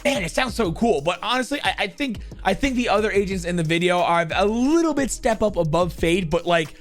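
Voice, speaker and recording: A young man hosting a gaming video, giving excitable, informal and enthusiastic ranking commentary. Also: high voice, brisk, soft background music.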